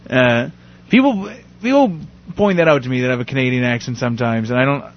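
A man's voice: medium pitch, lively intonation, quick pace. A man co-hosting a podcast, rambling and joking in a casual chat. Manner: rambling, joking, laughs often. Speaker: podcast co-host. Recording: dull lo-fi audio.

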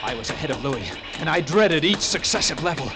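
A man's voice: fairly high pitch, lively intonation, lightly acted. A man acting a haunted lighthouse keeper, suspenseful radio storyteller. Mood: horrified. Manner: intense, dramatic, with halting pauses for suspense. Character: haunted lighthouse keeper narrating his tale of terror. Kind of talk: classic radio drama acting, suspense narration. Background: loud dramatic music.

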